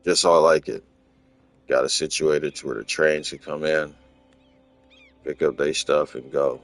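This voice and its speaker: A man from Los Angeles, casually talking through his game in a rambling, relaxed way, often repeating words. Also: very deep voice, a little slow, soft background music. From Los Angeles, USA. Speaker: gaming channel host. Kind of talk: casual gameplay walkthrough commentary.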